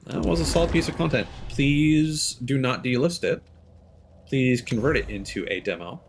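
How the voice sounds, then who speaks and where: A man's voice: mid-range voice, moderate pace, a little hiss or hum. A man streaming a video game, reacting casually and swearing now and then, with many fillers and restarts. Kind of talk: live gameplay commentary.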